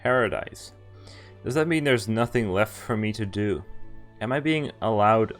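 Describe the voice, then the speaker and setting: A man streaming a visual novel, reading its text aloud and chatting in a halting, thinking-aloud way. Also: fairly low pitch, faint music behind.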